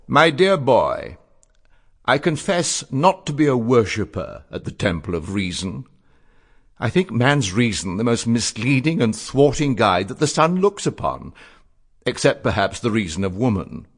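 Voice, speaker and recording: An actor playing a witty, dandified, eloquent young letter writer in a theatrical, florid, articulate reading of letters. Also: male voice, medium pitch. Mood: earnest, wry. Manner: florid, expressive, measured and articulate.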